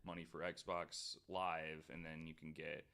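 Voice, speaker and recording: A man's voice: rumbling low, level tone, a little slow, pushed and bright, slight background noise. A man hosting a podcast, chatting casually and then reading researched notes aloud, hesitant with frequent ums and restarts. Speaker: podcast host.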